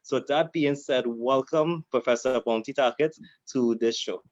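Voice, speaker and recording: A man co-hosting a show, introducing a guest in a deliberate way, often repeating and correcting himself. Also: mid-range voice, darkish tone, no room sound, dull audio. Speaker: co-host.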